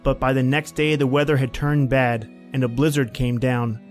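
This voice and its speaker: A man's voice: medium pitch, little pitch movement, normal speed, soft background music, natural voice. An actor playing a plain-spoken man at a snowbound outpost, telling his story in a folksy, conversational old-time radio style. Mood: reflective.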